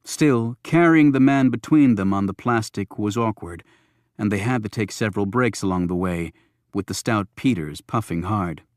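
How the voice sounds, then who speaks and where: A man's voice: on the low side, normal speed, dry recording, studio quality. A man narrating a thriller audiobook from a script.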